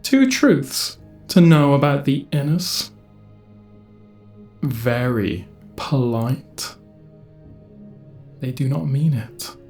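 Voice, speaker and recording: Ancient cosmic collective mind acted by a performer, solemn and cryptic, slow portentous phrasing, echoing over music. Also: mid-range voice, expressive, a little mellow. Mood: cryptic, grave. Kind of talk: audio drama acting.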